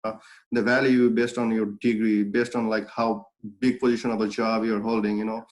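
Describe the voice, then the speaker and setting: A man's voice: on the low side, level tone, moderate pace, poor audio. Earnest Bengali-native man telling his story. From Bangladesh. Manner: many fillers and restarts, earnest and reflective. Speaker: guest, clothing brand founder. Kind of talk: casual interview, telling his life story.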